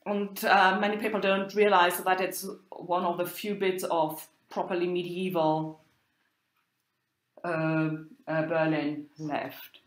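A woman lecturer speaks at a slow, measured pace, filling her pauses with ums and uhs. Her voice sits fairly low, and the recording carries the echo of a reverberant room. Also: little pitch movement. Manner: unhurried, many ums and uhs. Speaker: university lecturer. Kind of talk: lecture on medieval drama.

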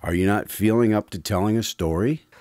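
A man's voice: on the low side, a little fast, darkish tone, dry recording, clean studio sound. A man hosting a radio drama, chatting with the main character in a friendly, conversational way. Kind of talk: scripted host chat.

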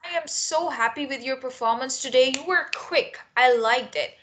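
A young woman with a high, bright voice leads a spoken English lesson. She sounds direct and instructive, putting short, clear questions as an examiner would and coaching her students. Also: moderate pace, slight background noise. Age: young adult. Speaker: English teacher and mock test interlocutor. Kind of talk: spoken test interview and coaching.

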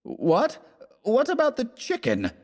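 Man putting on a fat whiny guy, goes way up high, moaning. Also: sing-song, measured pace, fairly bright tone, exaggerated voice. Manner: whining, plaintive.

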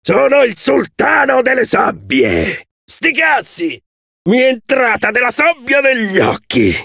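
Gravelly, theatrical Italian-accented scorpion villain. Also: male voice, very high voice, huge pitch swings, bright, forceful tone, dry recording, clean studio sound, heavily acted. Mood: manic, triumphant. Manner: theatrical, drawn-out, punning delivery. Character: manic, theatrical male scorpion villain, gloating showman conductor. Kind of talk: video game character voice lines.

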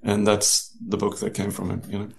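Gravelly low-voiced man. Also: completely flat delivery, normal speed. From New Zealand and South Africa. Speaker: guest, novelist. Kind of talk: casual interview storytelling.

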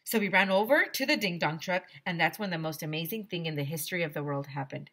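A middle-aged woman reading a children's story aloud like a teacher, expressive and dramatic. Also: lowish voice. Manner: expressive, dramatic, pauses to explain words. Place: small decorated room indoors. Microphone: headset microphone.

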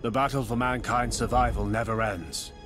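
Actor as a stern, resolute fleet admiral. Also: male voice, mid-range voice, steady tone, normal speed, music in the background, lightly acted. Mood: grave, measured. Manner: formal, resolute, grandiose. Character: commanding stern older male high admiral of a space fleet. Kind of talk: dramatic video game voice acting.

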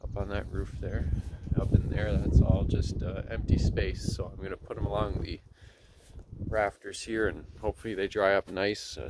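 A man giving a relaxed, chatty review outdoors in his backyard, with chuckles and fillers, filming on a handheld phone. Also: on the low side, a little hiss or hum. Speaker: homesteading vlogger. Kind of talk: casual outdoor product review.

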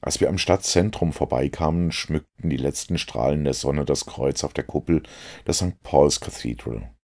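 A man narrating a first-person story as an audiobook reader, with a husky voice. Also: rumbling low, normal speed, a little mellow.

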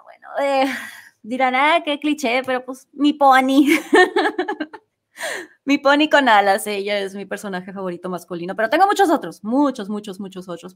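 A woman, chatting casually and a bit ramblingly as an interviewed fandub singer. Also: fairly high pitch. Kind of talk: casual live interview chat.

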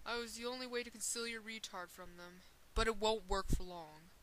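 A woman voicing a gruff, aloof young male swordsman, deadpan, in a fan parody dub of a game. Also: medium pitch, a little fast, close to an everyday voice.

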